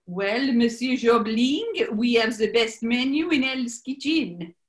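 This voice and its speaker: A woman's voice: on the high side, animated, slow. A woman playing a formal, flamboyant French maitre d' with a French accent in a 1940s-style radio comedy. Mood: formal, obliging.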